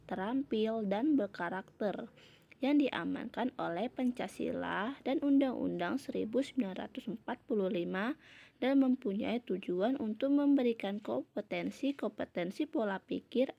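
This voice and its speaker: A woman's voice: fairly high pitch, creaky, dull audio. A young woman reads a research presentation aloud in a formal, recited manner, as a university student presenter. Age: young adult.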